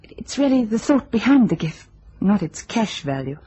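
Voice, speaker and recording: Wistful young heiress, very breathy rasp, mellow and lowish, vintage radio drama. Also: female voice, big pitch swings, moderate pace. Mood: cool, reflective. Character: cool, wistful young rich bride. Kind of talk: old-time radio drama acting.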